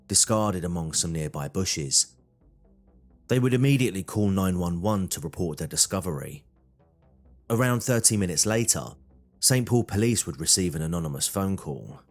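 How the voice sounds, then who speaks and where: A man reading scripted true crime documentary narration. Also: sits low.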